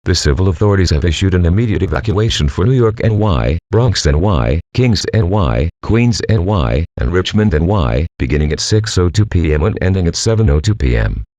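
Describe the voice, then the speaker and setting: Low robotic guy reading emergency alerts, swingy intonation, formal, dry sound. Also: lively intonation, fairly quick, hardly any pauses, a little mellow, no echo. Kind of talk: formal scripted evacuation bulletin.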